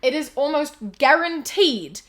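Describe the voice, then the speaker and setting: A young woman with a New Zealand accent talks in a casual podcast chat. Her voice sits high and she stresses her points hard, dropping swear words and laughing often. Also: lively intonation, a little slow. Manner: emphatic, swears freely, bursts of laughter. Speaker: podcast co-host.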